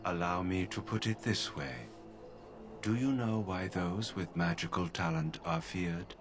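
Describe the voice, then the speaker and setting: An actor playing an emotionless male mage from a video game, who speaks in a calm, formal, flat way. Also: deep voice, measured pace, over music. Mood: detached. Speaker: game character.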